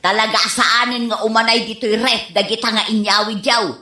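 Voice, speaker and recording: A woman playing an excitable, nagging wife in an Ilocano comedy radio drama, exclaiming and scolding theatrically with an Ilocano accent. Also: on the high side, moderate pace. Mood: fussy complaint. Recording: roomy, echoey radio drama recording.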